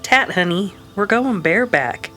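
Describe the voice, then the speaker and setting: A woman playing a steady, practical frontier woman, firm and matter-of-fact, in a western radio drama. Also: medium pitch, a little slow, faint music behind. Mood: calm, practical.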